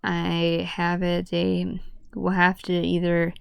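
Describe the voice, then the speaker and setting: Hoarse woman, gravelly and dry. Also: monotone, no echo. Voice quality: deep, breathy voice that often drops to a whisper. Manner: halting, with restarts and stutters. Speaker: vlogger. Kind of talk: casual talk to camera.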